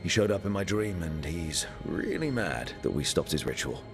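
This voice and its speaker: A blunt young hero who speaks in a matter-of-fact way in a fantasy game. His voice sits low. Clear music plays behind him. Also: very animated, moderate pace, exaggerated voice. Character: blunt young man hero, team leader. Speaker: player character. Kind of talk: video game voice acting.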